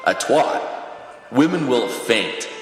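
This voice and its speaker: A man acts a grandiose, cruel cult idol in an audio drama. The lines come slowly and pompously with lively swings in pitch, ringing in a very echoey, reverberant space. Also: faint music behind. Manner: pompous, commanding. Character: vain, domineering male cult-star singer, grandiose and cruel. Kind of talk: theatrical audio drama dialogue. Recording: echoey, reverberant hall sound.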